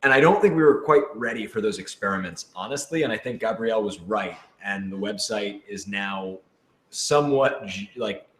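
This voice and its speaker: A man with a lowish voice chats in an echoey room. His manner is informal and playful, chipping in readily during a casual Q&A among a production team. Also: moderate pace, a bit bright, a little hiss or hum. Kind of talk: casual group Q&A chat. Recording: roomy, echoey sound.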